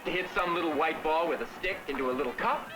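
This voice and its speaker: An actor playing a cocky young driver, casual and teasing, in a 1970s educational film. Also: male voice, fairly high pitch, fast talker, close to an everyday voice. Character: cocky, teasing young male driver who loves cruising. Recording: 1970s film soundtrack with music.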